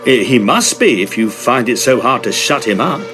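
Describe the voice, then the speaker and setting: Man playing earnest young Victorian student, lively intonation. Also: medium pitch, moderate pace, music in the background, lightly acted. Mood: politely probing. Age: young adult. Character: earnest, inquisitive young Oxford student of the 1880s. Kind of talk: Victorian audio drama dialogue.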